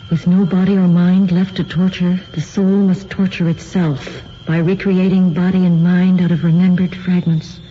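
An actress playing a composed woman in a radio drama, reading in a measured, solemn manner. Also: on the low side, flat delivery, warm tone, close to an everyday voice. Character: composed woman reading a grim account aloud. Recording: dull lo-fi radio recording, faint noise.

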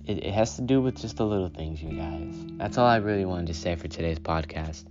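A man hosting a solo self-care podcast, talking in a calm, unhurried way and often saying "you know". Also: sits low. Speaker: podcast host. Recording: dull lo-fi sound with guitar music.

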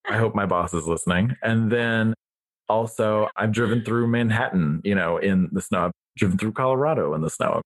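A man's voice: fairly low pitch, normal speed. A man from Atlanta, chatting on a podcast and telling stories with an easy laugh. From Atlanta, Georgia, US. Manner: laughs easily, chatty storyteller. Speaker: esthetician and educator, podcast regular. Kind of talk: casual podcast chat.

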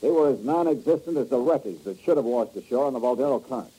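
An actor playing a wisecracking, tough insurance investigator who talks in a dry, sarcastic, clipped way in a 1950s radio drama. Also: male voice, medium pitch, expressive, fast talker, dark tone, slightly rough, poor audio. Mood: sardonic.

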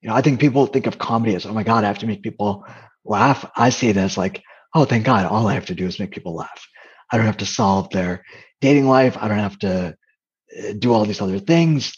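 A man with a soft voice, speaking candidly as an interview guest, hesitant with frequent fillers and restarts. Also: lowish voice, fairly quick, breathy edge, muffled recording. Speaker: interview guest, comedian and dating coach.

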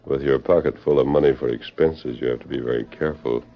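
A man acting a shrewd, calm masked cowboy hero in an old-time radio western, with a measured, authoritative delivery. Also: extremely low pitch, soft background music. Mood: calm, probing. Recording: muffled, noisy vintage radio recording.

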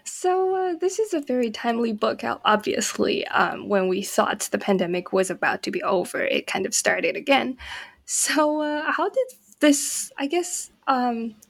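A woman hosting an academic book interview podcast, speaking gently with hesitant fillers. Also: on the high side, sing-song, measured pace, gravelly. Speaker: podcast host.

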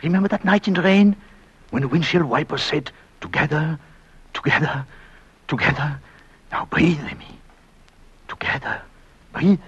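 An actor playing an anguished, devoted immigrant husband in an old radio drama, speaking in a halting, brooding, intense way. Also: male voice, high-pitched, husky, muffled recording. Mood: urgent pleading. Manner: halting, brooding, intense delivery with repeated words. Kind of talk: old dramatic radio-play acting with first-person narration.

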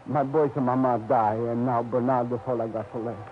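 An actor playing a worried older immigrant father with an Italian accent, pleading in halting broken English, in a 1940s radio drama. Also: mid-range voice, fairly even intonation, natural voice. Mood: earnest. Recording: muffled old radio recording.

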